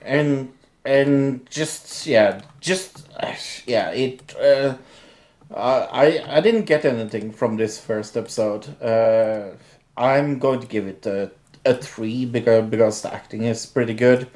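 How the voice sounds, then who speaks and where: A man's voice: mid-range voice, slow, a little mellow. A man co-hosting a casual TV review show, teasing and hesitant, restarting his sentences often. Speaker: podcast co-host.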